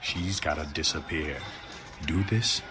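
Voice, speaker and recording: An actor playing a blunt, wary, streetwise vampire war veteran in a video game, talking in gruff street slang. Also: male voice, rumbling low, a little slow, noisy background. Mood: irritated, conspiratorial. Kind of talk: video game character dialogue. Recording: game music behind the voice.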